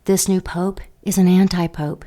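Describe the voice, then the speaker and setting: A woman playing a seductive female dark angel who teases and mocks in an audio drama. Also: fairly low pitch, fairly even intonation, normal speed, lightly acted. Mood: teasing. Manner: teasing, sultry, mocking.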